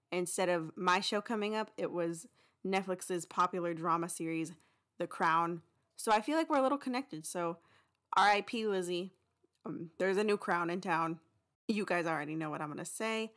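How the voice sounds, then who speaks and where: A woman's voice: on the low side, fairly bright tone. A young woman hosting a podcast, making casual announcements in a chatty, informal way. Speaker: podcast host and writer. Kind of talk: casual mid-episode announcement.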